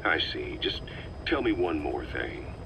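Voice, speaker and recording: A cold, menacing game interrogator with a very deep voice. He is deliberate, mocking and accusatory, swinging from pointed stresses down to breathy near-whispers. A clear vehicle rumble runs behind him. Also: big pitch swings, exaggerated voice. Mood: probing. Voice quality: hushed, often dropping to a breathy near-whisper. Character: cold, sardonic, menacing male military interrogator. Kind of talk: dramatic video game voice acting.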